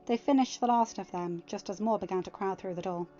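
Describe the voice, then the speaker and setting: A woman's voice: medium pitch, brisk, dark tone, lo-fi sound, faint music behind. Woman reading a fan story aloud. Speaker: fanfiction audiobook reader. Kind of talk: storybook narration, casual outro chat.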